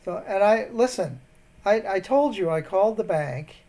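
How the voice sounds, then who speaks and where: A man's voice: high-pitched, expressive, normal speed, mellow. A man baiting a phone scammer, rambling and wandering off mid-sentence, growing more indignant as he goes. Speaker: scambaiter posing as an elderly bank customer. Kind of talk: prank phone call.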